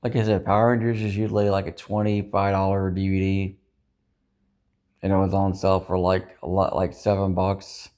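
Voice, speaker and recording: A man with a fairly low voice speaks in a loose, rambling vlog style. He circles back over his points and doubts himself as he goes, dropping in the odd mild swear word. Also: monotone, moderate pace, darkish tone. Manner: self-doubting, ruminating, mildly profane. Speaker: vlogger. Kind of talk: rambling casual vlog monologue.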